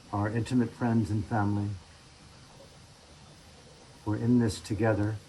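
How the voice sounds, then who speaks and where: A man's voice: on the low side, darkish tone, reverberant, slight background noise, rough recording. A man speaking as a podcast host and meditation teacher.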